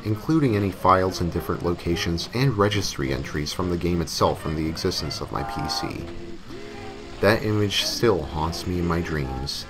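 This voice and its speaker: A man with a low voice narrates a scripted horror story in the first person. He reads as a creepypasta narrator, with music clearly playing behind his voice.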